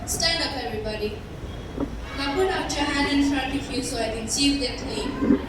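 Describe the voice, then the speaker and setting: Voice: high voice, normal speed, lightly acted. A young female performer in a school stage play, acting a cruel, bullying headmistress who despises children, scornful and imperious. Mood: stern disgust. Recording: roomy far-off stage recording, noisy.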